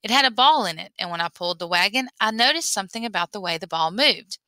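A woman reading a memoir aloud in an expressive storytelling way. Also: medium pitch, fast, no echo. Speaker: reader.

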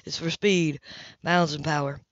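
Woman reading as a low, teasing sailor man. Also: fairly even intonation, normal speed, dry recording, close to an everyday voice. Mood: relaxed teasing. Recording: dull, lo-fi home recording.

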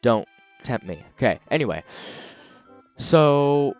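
A man hosting a let's play, chatting casually and explaining as he plays, his voice a little raspy and scratchy from a sore throat. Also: mid-range voice, very animated, slow, a little mellow, highs cut off, soft background music. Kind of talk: casual gameplay commentary.

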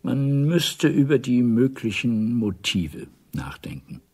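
An actor playing a guarded middle-aged intelligence officer in a 1980s radio play, measured, probing and quietly insistent. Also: male voice, mid-range voice, sing-song, slightly rough, full character voice.

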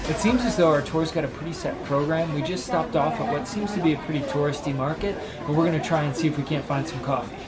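A man in his thirties talks quickly and cheerfully through a travel vlog, his voice sitting a little high. Loud music plays underneath the narration. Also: a loud crowd. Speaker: travel vlogger. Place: outdoors on a lake and in markets.

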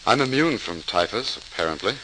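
A young surgeon, earnest and inquisitive. His voice is slightly low and bright, with lively pitch. It is a vintage radio drama with faint hiss. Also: a little fast, rough recording. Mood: thoughtful. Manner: polite, thoughtful. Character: earnest, inquisitive thirty-year-old surgeon. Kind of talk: old-time radio acting.

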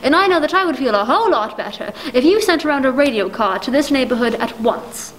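A woman plays a fretful, self-pitying invalid wife in a 1940s-style radio play. Her voice is very high, and she stammers, then turns indignant and demanding, sure that nobody is listening. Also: animated, a little fast, a bit bright, a little hiss or hum. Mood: insistent. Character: anxious, imperious, self-pitying bedridden married woman.

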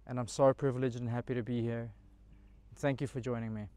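A man's voice: medium pitch, little pitch movement, fairly quick, mellow, clear, resonant tone, dry recording. A man, chatting eagerly to camera while camping outdoors in a pine forest. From Australia. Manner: enthusiastic, chatty, talks straight to camera. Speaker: camping vlogger. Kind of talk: casual solo camping vlog. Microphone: camera mic, handheld selfie and tripod framing.

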